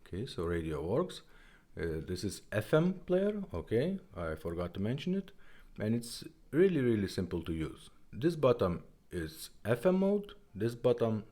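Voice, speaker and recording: A man who is not a native English speaker, giving a casual product demonstration in a halting, simple step-by-step way. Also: fairly low pitch, very animated, warm tone. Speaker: presenter of a vintage car radio demonstration.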